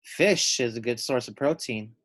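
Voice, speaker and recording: A man's voice: medium pitch, measured pace, a bit bright. A man reading a picture book, voicing a caring, cheerful mother in a reassuring, instructive manner. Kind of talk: picture-book read-aloud character voice.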